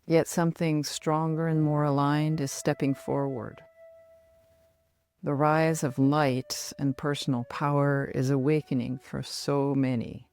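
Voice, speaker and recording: A middle-aged woman guiding a relaxation meditation in a soothing, measured manner with long pauses. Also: sits low, little pitch movement, darkish tone. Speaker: yoga nidra meditation guide.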